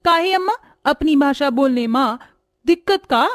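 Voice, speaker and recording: A woman's voice: high-pitched, lively intonation, moderate pace, dry recording, clean studio sound, pitched above the performer's own voice. A woman voicing a proud, spirited young village woman with a rural eastern Hindi dialect in a cartoon. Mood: defiant.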